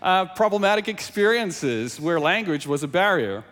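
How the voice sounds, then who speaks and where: A man's voice: high voice, normal speed, in a large hall. A South African man whose first language is Afrikaans, preaching a sermon with scripture reading and asking his audience questions. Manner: animated, engaging the audience with questions. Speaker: pastor.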